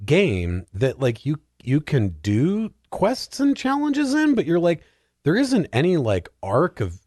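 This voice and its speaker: A man in casual, comedic podcast chat, telling things in an animated, halting way with plenty of filler likes. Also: mid-range voice, no echo, clean studio sound. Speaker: podcast panellist.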